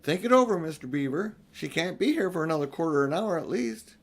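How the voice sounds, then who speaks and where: A man voicing an unflappable, motherly female beaver in a children's storybook, homely and gently scolding. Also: on the high side, animated, a little fast, gravelly, voice pushed up.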